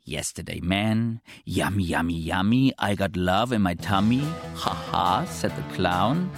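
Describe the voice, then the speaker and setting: A man playing a wry narrator who drops drawn-out asides in a scripted radio drama. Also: low-pitched, animated, vocal fry, no room sound, soft background music, full character voice.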